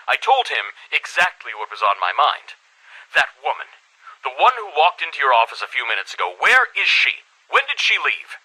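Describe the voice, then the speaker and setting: An actor playing an anxious middle-aged school headmaster in a game, confiding in a tape recorder in a halting, troubled monologue. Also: male voice, sits low, sharp tone, breathy edge, exaggerated voice, rough recording. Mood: tense, puzzled. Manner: halting, troubled, repeats words for emphasis. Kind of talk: dramatic audio-diary monologue.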